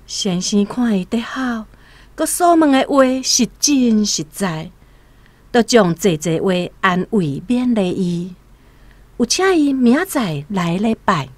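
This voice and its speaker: A woman's voice: medium pitch, expressive, takes it slowly, no echo. A woman with a Taiwanese accent narrating a scripted literary radio story expressively. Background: soft plucked-string music.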